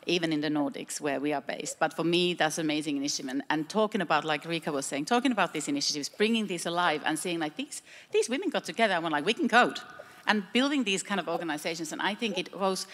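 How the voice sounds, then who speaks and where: A woman's voice: on the low side, animated, fast talker, a bit bright. A woman panelist telling stories in a chatty way, with frequent restarts, at a panel discussion in a large hall. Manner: chatty storytelling with many restarts. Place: large trade fair hall with reverb. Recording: echoey hall, faint background hum.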